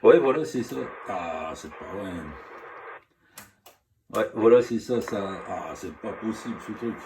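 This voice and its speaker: A man's voice: lowish voice, animated, measured pace, far from the mic, background noise. A man chatting casually over two-way radio as a hobby operator, speaking hesitantly, repeating himself and often apologetic.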